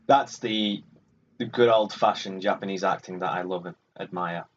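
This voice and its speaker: A man's voice: sits low, moderate pace, highs cut off, a little hiss or hum. A man giving casual, sardonic and grumbling commentary while playing a video game. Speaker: gaming video host. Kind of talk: casual let's play commentary.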